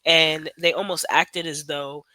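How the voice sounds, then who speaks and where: A woman's voice: low-pitched, steady tone, unhurried, bright, forceful tone, dry recording. A woman in her twenties, a podcast guest, speaking reflectively in a casual interview, hedging often with fillers as she thinks aloud. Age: 20s.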